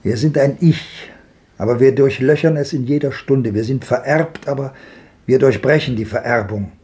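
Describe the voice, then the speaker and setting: Radio play casting: male actor as old wanderer, world-weary, dark mellow tone, medium pitch, lyrical declamation. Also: normal speed, close to an everyday voice. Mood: grave, reminiscing. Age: older. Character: world-weary old wanderer looking back on a hard life. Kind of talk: 1950s German radio drama acting.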